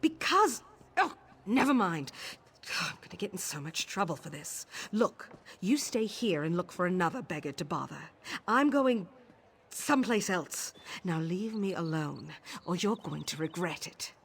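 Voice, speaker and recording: A woman's voice: fairly low pitch, lively intonation, unhurried, fairly bright tone, slight background noise. An actress voicing a nervous, defensive female beggar in a video game. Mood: agitated. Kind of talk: video game character dialogue.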